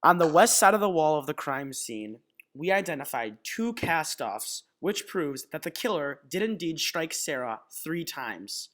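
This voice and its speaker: A man's voice: a little high, sing-song, unhurried, fairly bright tone. A young man reading scripted narration for a student crime dramatization.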